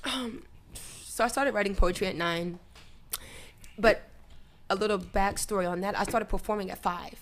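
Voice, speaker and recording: A young woman with a raspy voice, a singer telling her story in a casual podcast interview with plenty of laughter. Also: mid-range voice, normal speed, pauses often. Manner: lively storyteller, laughs a lot. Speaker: guest singer and rapper.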